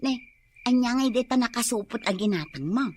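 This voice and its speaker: An actress with an Ilocano accent playing a fish vendor's wife in a comedy radio drama, teasing and complaining. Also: female voice, fairly high pitch, expressive, fairly quick, darkish tone, a little hiss or hum, close to an everyday voice.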